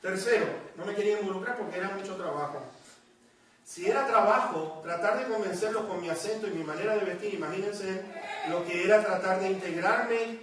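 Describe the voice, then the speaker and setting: A man with a Puerto Rican accent gives a sermon, his voice pitched high and carrying through a very echoey hall. The mic sits some way off, with faint noise underneath. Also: brisk, recorded from a distance. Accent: Puerto Rican Spanish. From Puerto Rico. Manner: storytelling, acting out quoted voices. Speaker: preacher. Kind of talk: sermon with personal testimony.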